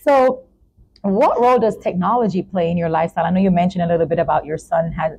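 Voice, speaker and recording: A woman's voice: fairly low pitch, fast talker, vocal fry, mellow, slightly rough, poor audio. A woman hosting a talk show podcast, interviewing conversationally with an encouraging, effusive manner.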